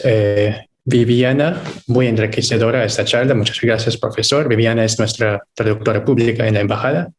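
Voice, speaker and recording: A man moderating a webinar for an embassy, politely and formally reading comments and giving closing remarks with frequent hesitation. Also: medium pitch.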